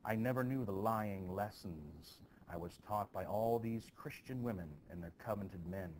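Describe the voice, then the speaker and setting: A man's voice: lowish voice, normal speed, darkish tone, a little hiss or hum, lightly acted. A man voicing a lovesick, defiant young woman from a stage play, pleading dramatically.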